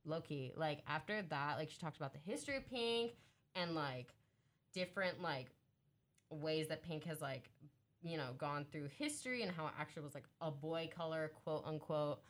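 A woman's voice: low-pitched, animated, normal speed. A young woman co-hosting a casual podcast, chatty and rambling with lots of filler words. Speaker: podcast co-host. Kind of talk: casual chat.

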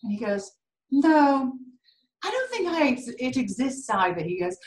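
A British woman telling candid stories in an interview, animated and quick to laugh. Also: high voice, roomy sound. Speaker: core facility director. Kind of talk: candid conversational storytelling.

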